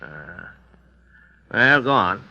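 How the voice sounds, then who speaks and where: An actor playing a skeptical, probing defense lawyer who questions with condescension in an old radio drama. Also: male voice, on the low side, very animated, drawn-out words, gravelly, sharp tone, exaggerated voice. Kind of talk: 1930s radio drama acting. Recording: dull old radio recording.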